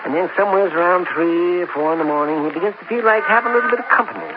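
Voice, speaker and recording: A man's voice: sits high, racing. A gossipy folksy counterman. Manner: folksy rambling drawl with chuckles. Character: chatty small-town lunchroom counterman, gossipy. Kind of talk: 1950s radio drama acting. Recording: dull hissy old radio recording.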